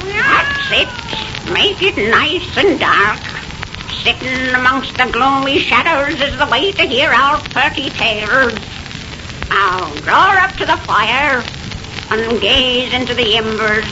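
Ancient gleeful witch storyteller, slow folksy delivery, aged cackle, muffled 1930s radio with music. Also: sits high, big pitch swings, full character voice. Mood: eerie, relishing. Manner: slow, folksy, relishing the gloom. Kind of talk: 1930s radio horror acting.

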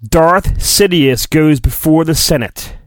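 A man's voice: on the high side, slow, no echo. A man hosting a podcast, giving a hammy, irreverent storybook reading with joking asides. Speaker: podcast host.

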